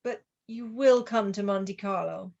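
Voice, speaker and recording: A woman's voice: medium pitch, unhurried, vocal fry, darkish tone, highs cut off. A British woman acting a devoted but disapproving genteel Victorian lady in a radio play, expressively. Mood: resigned, concerned.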